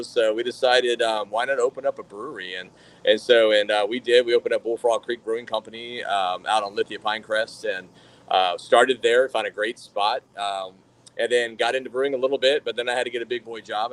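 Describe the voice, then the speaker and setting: A man's voice: mid-range voice, low-quality recording. A man from Florida, a brewery manager, telling his story in a casual podcast interview, rambling with lots of fillers and restarts.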